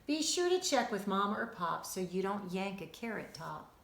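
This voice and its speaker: Storybook handyman helper, played by a woman: bossy, jolly, chirpy, very animated delivery, roomy sound. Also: medium pitch, moderate pace, vocal fry. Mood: encouraging. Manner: chirpy, exclamatory, coaxing. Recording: roomy, echoing indoor sound.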